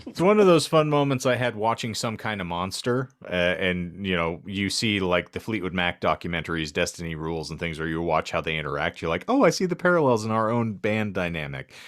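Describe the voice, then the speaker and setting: A man with a low-pitched voice hosts a casual comedy podcast. He favours wordy, mock-formal turns of phrase and delivers his jokes with dry humour. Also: fairly quick, few pauses, fairly bright tone. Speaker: podcast host.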